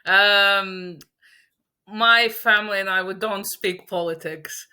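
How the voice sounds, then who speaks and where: Slow-talking Latvian-raised woman, bright tone. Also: medium pitch, steady tone, clean tone. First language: Russian. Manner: hesitant, many fillers and restarts, laughs often. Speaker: podcast guest. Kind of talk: casual interview chat.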